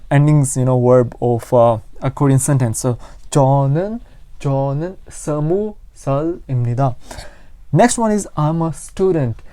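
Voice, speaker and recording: A man's voice: a little high, warm tone, smooth, no room sound. A man with a Pakistani accent teaching an informal language lesson, explaining and repeating phrases for learners. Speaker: language teacher and channel host.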